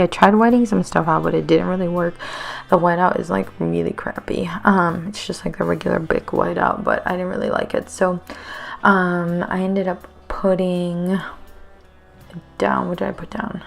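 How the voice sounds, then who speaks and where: A woman's voice: on the low side, vocal fry. A woman in her thirties, a teacher, rambling casually with many fillers in a voice-over for her planner video, her voice a little worn. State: slightly losing her voice.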